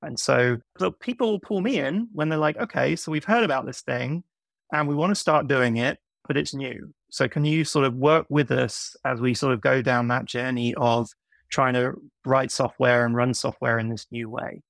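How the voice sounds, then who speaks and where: A man's voice: medium pitch, normal speed, vocal fry, fairly bright tone, no room sound. A middle-aged man with a blended British and American accent, explaining things casually as an interview guest. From United Kingdom. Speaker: podcast guest, software consultant.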